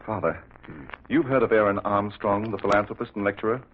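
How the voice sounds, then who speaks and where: An actor playing an earnest, agitated private investigator in an old radio drama, urgent and matter-of-fact. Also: male voice, fairly low pitch, fairly even intonation, brisk, close to an everyday voice. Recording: hissy narrow-band vintage radio recording.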